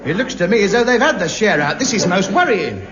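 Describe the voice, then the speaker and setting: A man's voice: sits high, sing-song, fast talker, crowd noise. An actor playing a blustering, self-pitying, penny-pinching man in a 1950s radio sitcom, flustered and stammering. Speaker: lead comic character. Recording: muffled hissy 1950s radio broadcast.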